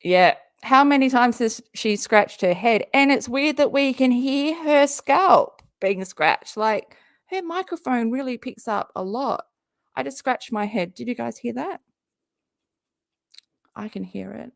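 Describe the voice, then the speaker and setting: Hoarse, gravelly woman hosting a live reaction stream, jokey and chatty, dry close sound. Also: fairly high pitch, animated, a little slow, dry recording. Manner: chatty, jokey, talks to her viewers. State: hoarse, often losing her voice. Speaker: YouTube live-stream host and comedian.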